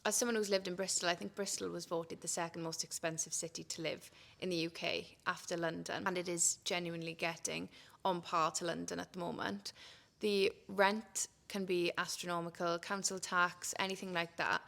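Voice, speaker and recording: Low creaky-voiced woman. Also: level tone, moderate pace, a bit bright. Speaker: company staff member, films jobs for social media. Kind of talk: podcast discussion.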